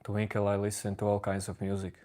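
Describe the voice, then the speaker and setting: A man whose first language is Latvian, calmly answering viewers' chat questions on a live stream. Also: lowish voice. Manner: calm, unhurried replies to chat. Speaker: livestream host answering chat.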